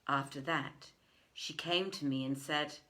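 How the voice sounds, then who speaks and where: A middle-aged woman reading old tales aloud in a deliberate, theatrical way, with emphatic pauses. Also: sits low, fairly bright tone. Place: indoors, living room by a fireplace.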